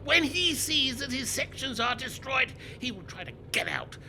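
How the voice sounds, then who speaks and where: An actor playing a scheming, venomous British officer in a radio play, sneering and emphatic. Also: male voice, very high-pitched, sing-song, moderate pace, bright, forceful tone, faint music behind, exaggerated voice.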